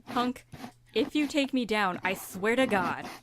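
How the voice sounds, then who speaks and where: A woman's voice: fairly high pitch, lively intonation, measured pace, background noise. A woman voicing a hotheaded, defensive teenage boy in a whiny, dramatic way for a fan fiction reading.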